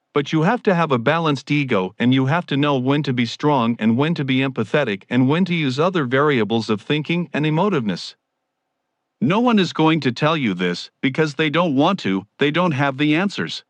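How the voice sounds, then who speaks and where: A man's voice: mid-range voice, no echo, lo-fi sound. A man giving a scripted motivational monologue as a self-help speaker.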